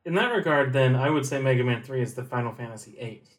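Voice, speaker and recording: A man's voice: mid-range voice, fast. A man co-hosting a podcast, chatting casually with dry jokes and frequent laughter. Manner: dry, joking, laughs often. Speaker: podcast co-host. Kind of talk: casual chat.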